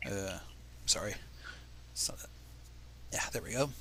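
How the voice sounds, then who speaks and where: A man's voice: lowish voice, animated, takes it slowly, fairly bright tone, a little hiss or hum. A man reading fan fiction aloud on a livestream, narrating expressively and dropping into casual asides. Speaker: livestream reader.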